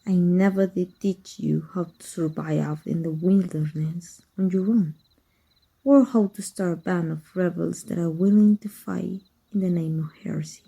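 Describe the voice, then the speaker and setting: A woman playing an exiled evil magic teacher in an ASMR roleplay, wry and fond, speaking softly and intimately with a husky, breathy voice. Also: fairly low pitch, rough recording. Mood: fond, regretful. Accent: Mexican. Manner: wry, unhurried, intimate, addressing a former student.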